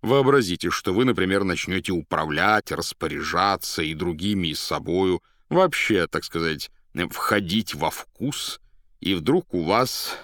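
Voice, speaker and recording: A man voicing a mysterious foreign gentleman, polite and slyly menacing, who draws out and savours his words. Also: low voice, animated, a little slow, dry recording, studio quality. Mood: sly menace.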